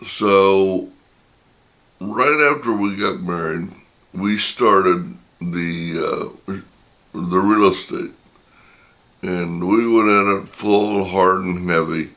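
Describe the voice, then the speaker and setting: An older man hosting his own channel, telling a personal story in a reflective, unhurried way, his voice a little raspy from talking. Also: deep voice, fairly bright tone. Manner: reflective and unhurried, many pauses. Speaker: channel host.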